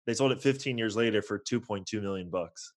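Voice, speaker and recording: A man's voice: fairly low pitch, fairly quick. A man in his twenties with a North American accent, telling his story casually and informally as a podcast guest. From born in Toronto, grew up in California's Bay Area. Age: 20s. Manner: informal, slangy, peppered with "like" and "you know". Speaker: podcast guest, real estate investor.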